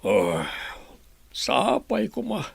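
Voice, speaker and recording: A man acting a gravelly, animated old grandfather. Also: sits high, drawn-out words, no echo, exaggerated voice. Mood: concerned. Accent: Ilocano. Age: older. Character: caring, exasperated grandfather of a sick young man. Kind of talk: Ilocano comedy radio drama acting.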